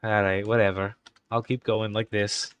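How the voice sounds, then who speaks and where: A man's voice: on the low side, little pitch movement, normal speed, clear, resonant tone, highs cut off. A young man live-streaming in a put-on Irish accent that slips now and then, chatting casually and muttering to himself while he types game commands.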